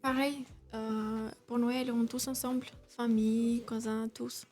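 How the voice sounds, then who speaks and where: A woman in her twenties chatting as a guest in short, halting phrases. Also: fairly high pitch, level tone, a little mellow, soft background music. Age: 20s.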